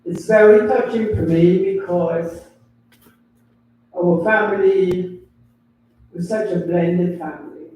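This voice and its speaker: An older man from Guyana, giving a slow, deliberate memorial tribute as a family elder. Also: sits high, warm tone, a little hiss or hum. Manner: slow, deliberate, pausing between phrases. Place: funeral home chapel. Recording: very echoey hall, distant mic.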